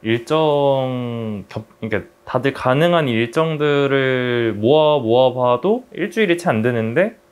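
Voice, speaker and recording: A man in a casual group discussion, speaking briefly and practically as a member of a creative team. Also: mid-range voice.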